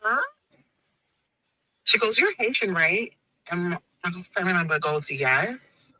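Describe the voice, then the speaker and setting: A West Indian woman rants into her phone, cursing hard and playing every person in her story. Her voice is sharp and very bright, and it swings high and low. The sound is dry and close. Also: lively intonation, takes it slowly, no echo, low-quality recording. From West Indies. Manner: profane, emphatic, acts out each person in the story. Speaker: woman in a played social media clip. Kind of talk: angry storytelling rant.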